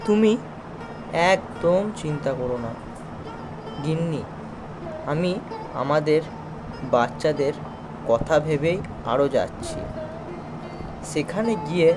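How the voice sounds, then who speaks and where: Man acting a humble village food seller, very slow delivery, slightly high male voice, earnest, cartoon, music behind. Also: lively intonation, rough recording. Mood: reassuring. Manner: earnest, unhurried, reassuring. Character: kind, simple village snack seller, family man. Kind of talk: children's cartoon dialogue.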